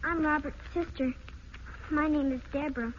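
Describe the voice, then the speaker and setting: An actress playing a shy, earnest little girl, a protective big sister, speaking haltingly in an old-time radio drama. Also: high voice, steady tone, close to an everyday voice. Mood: timid. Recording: muffled, noisy old radio broadcast.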